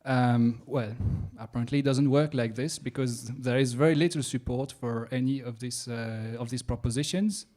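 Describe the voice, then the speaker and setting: A man's voice: medium pitch, moderate pace, hardly any pauses, a little mellow. Man, French accent, young adult, stand-up comedy set, self-mocking, hesitant, playful asides. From France. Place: comedy venue stage.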